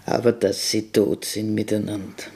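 A man's voice: on the low side, little pitch movement, moderate pace, warm tone, husky, voice pushed up. A man voicing an ancient, bitter village innkeeper woman who speaks bluntly in a radio play. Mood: grim. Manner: blunt, curt, grim dialect-tinged speech. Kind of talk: German radio play acting.

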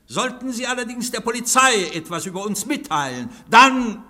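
A bright, high, sweeping-voiced tyrant of an anarchist council, booming and scornful; radio drama, hall reverb. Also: male voice, animated, moderate pace, a little hiss or hum, heavily acted. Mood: mocking. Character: commanding anarchist council president, imperious and mocking. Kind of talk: radio play acting.

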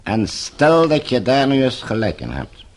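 An actor playing a falsely courteous, menacing captor and regime agent in a Dutch radio drama, speaking in measured, formal, condescending phrases. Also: male voice, medium pitch, wildly swooping pitch, a little hiss or hum. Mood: coolly menacing.

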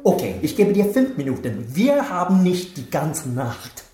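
An actress playing a menacing triad boss who taunts with drawn-out questions in a German radio crime drama. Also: female voice, low-pitched, very animated, noisy background. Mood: mocking, commanding. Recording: echoey room under loud guitar music.

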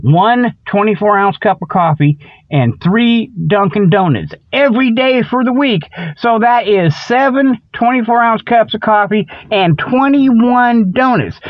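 High-pitched folksy man, rambling radio host. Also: no room sound. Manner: folksy colloquial grammar; repeats "okay" and "you know" as tags. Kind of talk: rambling comedic radio monologue. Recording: dull lo-fi radio-like sound.

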